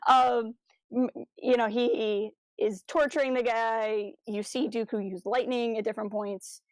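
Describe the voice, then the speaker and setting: Higher-pitched woman chatting on a podcast. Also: steady tone, unhurried, dry recording, rough recording. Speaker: podcast co-host. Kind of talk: casual fan discussion.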